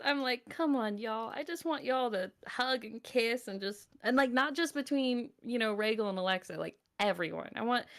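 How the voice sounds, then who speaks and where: A woman chatting casually as a guest voice actor, cheerful and self-deprecating. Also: a little high. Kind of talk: casual chat.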